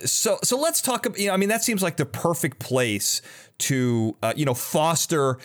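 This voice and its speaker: A man's voice: on the high side, normal speed. A man hosting a casual interview, talking in an informal, hedging way. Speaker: podcast host, interviewer.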